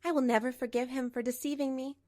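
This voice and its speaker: A woman's voice: high-pitched, steady tone, quick pace, darkish tone. A woman reading a scripted first-person drama narration for a manga story dub. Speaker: narrator and lead voice actor.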